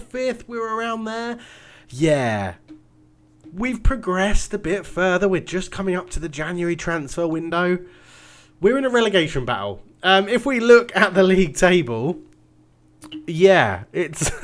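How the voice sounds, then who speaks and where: A man, congested and nasal from a cold, chatting casually through his football management game. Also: high voice. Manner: chatty and rambling, self-mocking. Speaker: game commentator. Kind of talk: casual gaming commentary.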